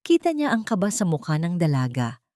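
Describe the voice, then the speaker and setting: A woman's voice: on the low side, very animated, brisk, mellow, no room sound, studio quality. A woman narrating an audio drama in a scripted, dramatic storytelling style.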